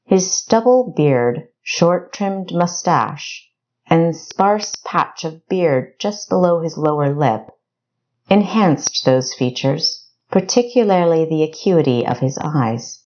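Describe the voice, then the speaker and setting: A woman's voice: low-pitched, expressive, slow pace, a little mellow, lo-fi sound. A woman reading scripted fiction narration for an audiobook. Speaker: author narrating own audiobook.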